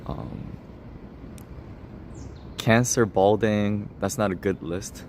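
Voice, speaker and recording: A man's voice: lowish voice, steady tone, slow pace, darkish tone, a little hiss or hum. Laughing, self-mocking young man on stream. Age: 20s. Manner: laughs often, self-mocking, talks straight to chat. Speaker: livestreamer. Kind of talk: casual livestream chat reading comments.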